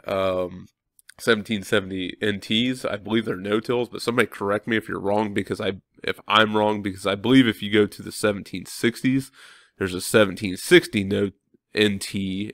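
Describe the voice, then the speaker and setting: Young male farmer vlogger, slightly low voice, stuffy with a cold, relaxed chatty delivery, dry close sound. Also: no room sound. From Midwestern United States. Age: young adult. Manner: thinks aloud with many ums and self-corrections. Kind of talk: casual walkthrough talk to viewers.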